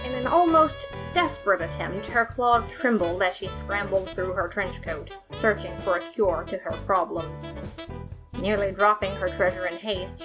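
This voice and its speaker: A woman reading a fan story aloud as narrator, with lively, playful storytelling. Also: mid-range voice, poor audio. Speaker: podfic reader.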